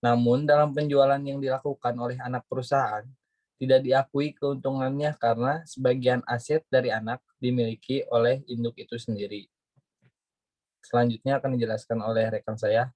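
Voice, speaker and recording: A man's voice: medium pitch, fairly even intonation, normal speed, darkish tone, no room sound. A young man reading out definitions in a student group presentation. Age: young adult.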